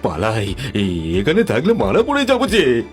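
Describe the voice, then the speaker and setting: Man playing jittery, animated Gopal, a villager. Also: mid-range voice, unhurried. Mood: terrified. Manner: jittery, stammering when scared. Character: impatient, easily frightened village fellow Gopal. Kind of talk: horror cartoon voice acting. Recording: background music throughout.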